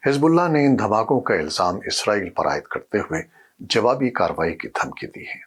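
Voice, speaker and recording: A man presenting scripted news narration in a formal, measured newsreader manner. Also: lowish voice, big pitch swings, husky.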